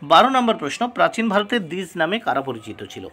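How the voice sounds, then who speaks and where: Male exam tutor, fairly high voice, very animated pitch, didactic, reading questions and answers, repeats key terms. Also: quick pace, breathy edge.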